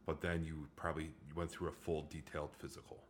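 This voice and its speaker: Man, very deep voice, host, casual joking chat, rambling, faint background noise. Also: level tone, quick pace. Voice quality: low voice. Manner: joking, rambling, lots of restarts. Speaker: podcast host.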